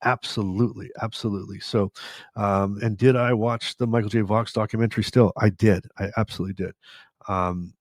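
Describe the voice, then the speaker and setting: Man, older, podcast host, live Q&A, rambling, tired slightly raspy voice, low-ish pitch, dry close mic. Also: fairly even intonation, brisk, no room sound. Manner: rambling, warm-hearted, talks straight to viewers. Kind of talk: live Q&A chat. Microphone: studio microphone with headphones.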